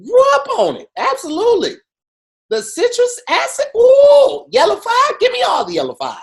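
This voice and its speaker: Lively high-voiced man riffing. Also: moderate pace. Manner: animated, profane, jokey storytelling with mocking quoted voices. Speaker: podcast co-host. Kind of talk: casual comedic chat.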